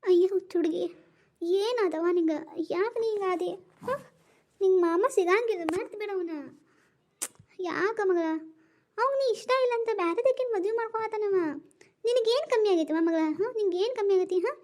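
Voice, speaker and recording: A woman's voice: extremely high pitch, darkish tone. A middle-aged woman with a North Karnataka Kannada accent, playing an anxious mother who coaxes her daughter and defends herself in a family drama skit. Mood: coaxing. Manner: coaxing, defensive, rapid scolding.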